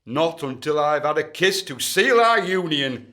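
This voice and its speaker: Man playing a pompous amorous duke, highish voice. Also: lively intonation, unhurried, bright, forceful tone. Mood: pleading, insistent. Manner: florid, melodramatic, gushing. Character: pompous, amorous, pushy duke wooing a lady. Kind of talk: comic period radio play acting.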